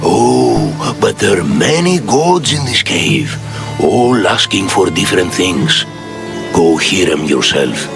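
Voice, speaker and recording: A poor, superstitious man of ancient Greece in a game scene. He is plaintive and troubled, his low voice swinging up and down. Music plays clearly behind him. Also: big pitch swings, takes it slowly, exaggerated voice. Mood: troubled, pleading. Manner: plaintive, fretful. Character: anxious, superstitious poor Greek worshipper, male, downtrodden. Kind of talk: video game cutscene acting.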